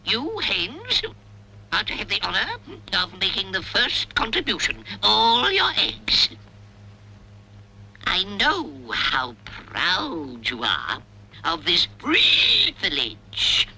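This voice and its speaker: An actor voicing a pompous tyrant pig in a cartoon, declaiming to farm animals in an oily, accusing way. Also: male voice, high voice, huge pitch swings, takes it slowly, bright, forceful tone, echoey room, big-room sound, heavily acted, low-quality recording. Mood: smug, unctuous.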